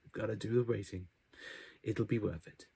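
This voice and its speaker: A man's voice: fairly low pitch, fairly even intonation, moderate pace, vocal fry, mellow, husky, dry recording. A man in his twenties giving a casual channel update to camera, with hesitant, self-deprecating asides. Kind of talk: casual vlog talk to camera. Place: small cluttered bedroom, indoors.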